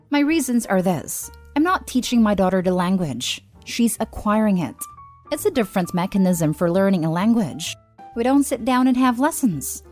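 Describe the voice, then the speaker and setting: A woman narrator reading online posts and comments aloud in a steady, even delivery. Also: medium pitch. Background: faint background music.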